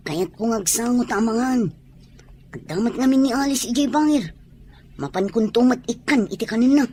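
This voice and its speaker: A woman's voice: on the high side, animated, moderate pace, pauses often, mellow, slight background noise, natural voice. A woman playing a devoted, playful tomboy sweetheart in an Ilocano comedy drama.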